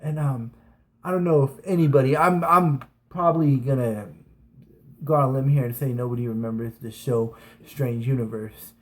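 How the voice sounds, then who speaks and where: A man's voice: medium pitch, normal speed. A man in his thirties casually telling stories from his school days on his vlog, rambling with restarts and fillers. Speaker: vlogger. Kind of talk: casual storytelling.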